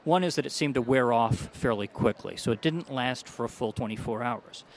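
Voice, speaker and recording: A male doctor speaking in a measured, explanatory tone, pitched in the middle range for a man. He lays out findings point by point as an interview guest. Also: a little hiss or hum. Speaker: doctor, allergy specialist. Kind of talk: interview answers.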